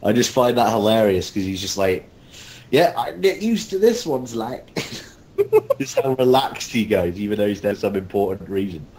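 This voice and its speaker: A man's voice: expressive, moderate pace, a little mellow. A man in a casual group chat as an interviewed voice actor, talking in a rambling, jokey way over an online call. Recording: rumbly, noisy online call.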